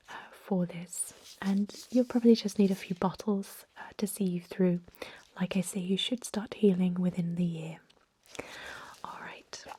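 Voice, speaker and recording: A woman playing a calm, reassuring ancient vampire medic in a whispered ASMR roleplay, speaking gently in a breathy whisper. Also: medium pitch. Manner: gentle, unhurried, hesitant with soft explanations. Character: calm, reassuring thousand-year-old female vampire medic.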